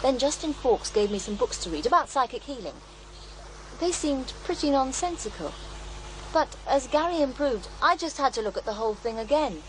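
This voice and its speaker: An actress in an audio drama playing a middle-aged commune healer, wry, earthy and matter-of-fact, gently teasing. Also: female voice, high voice, animated, moderate pace. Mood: earnest, knowing. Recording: noisy outdoor ambience bed.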